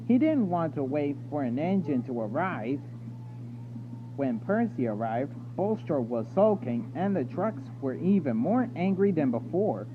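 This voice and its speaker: A man narrates a children's storybook in a highish, very animated voice. The recording is thin and phone-like, with loud noise and hum behind him. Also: unhurried. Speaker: story reader.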